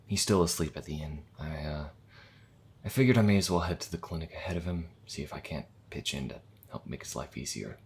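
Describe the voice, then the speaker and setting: Man acting a deep-voiced, earnest young priest. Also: darkish tone, husky, exaggerated voice. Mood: subdued urgency. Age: young adult. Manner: hesitant, halting, earnest. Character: earnest, anxious young male priest healer. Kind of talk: audio drama acting.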